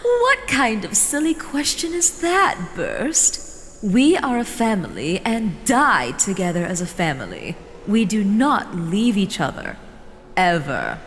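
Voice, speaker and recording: Woman acting as slow, swooping, doting matriarch in reverb. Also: medium pitch, big pitch swings. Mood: doting, firm. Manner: drawn-out, sweetly condescending, theatrical. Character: doting female elder of a hive-mind family, theatrical and possessive. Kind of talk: scripted fan audio drama acting. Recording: reverberant hall, faint noise.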